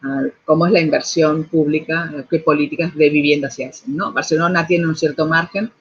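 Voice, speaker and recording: Husky Argentine woman on a muffled call. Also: low voice, steady tone, brisk. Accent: Argentine Spanish. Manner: thoughtful, many restarts and tag questions. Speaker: architect and urban planner. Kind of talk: interview answers.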